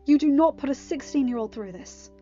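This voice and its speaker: Higher-voiced woman reading a story. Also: fairly quick, warm tone, muffled recording. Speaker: podfic narrator. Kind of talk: expressive story narration with chatty asides. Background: music.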